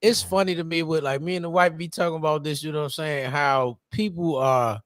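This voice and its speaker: A man co-hosting a podcast in casual chat, animated and slangy, often laughing as he talks. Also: fairly high pitch, brisk, no room sound, studio quality. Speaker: podcast co-host.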